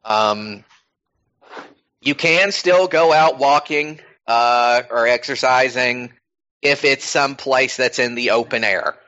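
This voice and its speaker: Slow-talking, profane man hosting. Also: mid-range voice, pushed and bright. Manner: profane, rambling, many fillers. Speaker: podcast host. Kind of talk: casual podcast chat. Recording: dull lo-fi sound.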